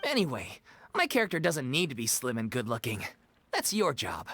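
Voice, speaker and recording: A man's voice: mid-range voice, wildly swooping pitch, moderate pace, a bit bright, a little hiss or hum. An actor playing an easygoing, hearty, food-loving male stage performer in a video game. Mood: jovial.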